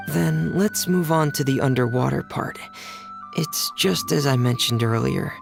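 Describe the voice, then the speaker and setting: A polite young tinkerer dude in a video game, measured n earnest, fry in the voice, music behind. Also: male voice, mid-range voice. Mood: gently reassuring. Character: soft-spoken, earnest young male mechanic and diver. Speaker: game character voice actor. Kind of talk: video game cutscene acting. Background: game background music.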